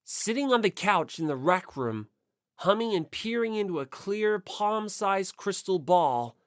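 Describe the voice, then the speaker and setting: A woman's voice: medium pitch, no room sound, clean studio sound. A woman reading scripted first-person story narration as an audiobook narrator.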